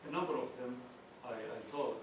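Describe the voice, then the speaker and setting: A middle-aged man, an ESL teacher giving a workshop talk, halting with many restarts and fillers. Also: mid-range voice. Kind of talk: workshop presentation. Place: conference room. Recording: echoey hall, distant mic, noisy.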